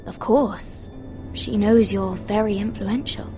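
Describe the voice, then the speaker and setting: A woman's voice: medium pitch, fairly even intonation, slow, faint music behind, lightly acted. An actress playing a dutiful, earnest young noblewoman in a fantasy game, polite, reserved and formal. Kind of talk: dramatic fantasy game acting.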